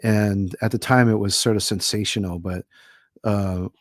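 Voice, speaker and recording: A man's voice: fairly low pitch, steady tone, normal speed, dry recording, studio quality. A middle-aged man from Hawaii, an author guesting on a podcast, talking in a thoughtful, rambling way with many fillers. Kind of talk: casual podcast conversation.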